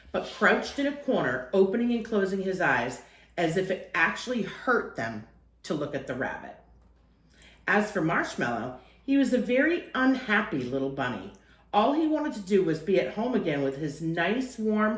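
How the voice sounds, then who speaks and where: Woman doing storytime read aloud, really expressive, stretches key words, kinda echoey room. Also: on the low side, moderate pace. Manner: acts out phrases, lingers on key words. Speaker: storyteller reading a picture book aloud.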